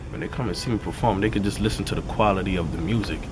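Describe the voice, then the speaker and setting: A man with a raspy, dark voice, a rapper talking casually in an interview and peppering his talk with "you know what I'm saying". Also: low voice, very fast, background noise. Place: outdoors in a city neighborhood.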